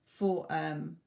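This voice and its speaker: Woman, tarot reader, live stream, reassuring, slightly hoarse, close mic. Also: sits low, darkish tone. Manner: reassuring, calls viewers "my lovely". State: losing her voice, a bit hoarse.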